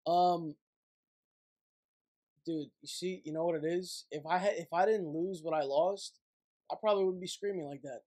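A man's voice: on the high side, steady tone, normal speed, pauses often, a little mellow. A young man in his twenties chats casually as he hosts a livestream. His delivery is blunt and irritable, peppered with swearing. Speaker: livestream host. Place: small dim gaming room at home.

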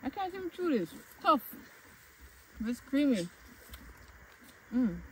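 A woman's voice: high voice, very animated, slow pace, darkish tone, slight background noise, low-quality recording. A young woman, chatting casually outdoors as she vlogs around her farm. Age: young adult. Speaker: vlogger showing her farm. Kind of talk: casual outdoor vlog chat. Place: outdoors in a grassy goat pasture. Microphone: handheld phone camera.

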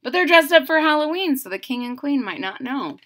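A middle-aged woman giving an expressive, exaggerated children's read-aloud with casual asides. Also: sits high, a little fast. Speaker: storyteller reading a picture book. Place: small room at home, indoors.

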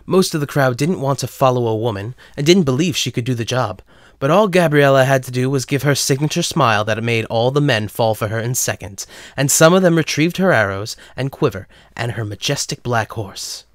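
A man's voice: mid-range voice, animated, a little fast, dry recording, clean studio sound. A man narrating a scripted dramatic story as the narrator.

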